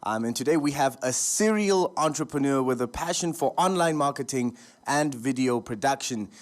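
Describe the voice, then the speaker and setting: A man's voice: mid-range voice, animated, moderate pace. A male radio host interviewing a guest in a studio, friendly and chatty, with fillers and stumbles.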